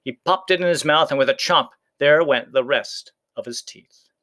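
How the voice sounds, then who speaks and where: A man's voice: on the high side, moderate pace, fairly bright tone. A man reading a children's folktale aloud as scripted storybook narration. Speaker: story reader.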